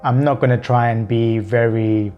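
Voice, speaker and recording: A man's voice: medium pitch, steady tone, normal speed, lo-fi sound, faint music behind. Earnest young man who stammers. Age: 30s. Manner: stammers with repeated words and blocks, unedited; earnest, open, conversational. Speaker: vlogger. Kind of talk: personal vlog talking to camera.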